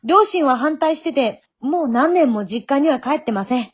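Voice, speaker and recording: Woman acting a pushy young would-be singer, high voice, earnest, exhorting, radio drama, slightly dull recording. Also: animated, moderate pace. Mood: earnest, confiding. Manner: emphatic, exhorting.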